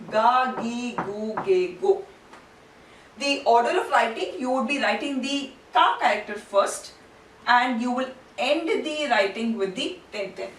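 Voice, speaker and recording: A woman teaching a language lesson step by step, speaking deliberately and repeating syllables and terms for learners. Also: a little high. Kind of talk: instructional lesson, step-by-step explanation. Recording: roomy, distant mic, faint background noise.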